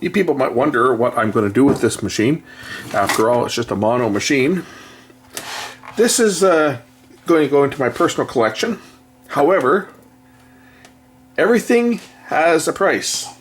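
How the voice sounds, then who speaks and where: A man's voice: medium pitch, animated, normal speed, pauses often, slight background noise. A man giving a casual, hands-on repair demonstration as a technician, explaining things in a matter-of-fact way. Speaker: electronics repair technician and video host.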